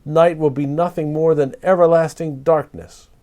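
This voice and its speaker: A man's voice: a little high, steady tone, moderate pace, mellow, pitched above the performer's own voice, lightly acted. A man voicing a chorus of timid, worried rainforest night animals with a whiny, pleading way of talking. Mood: upset.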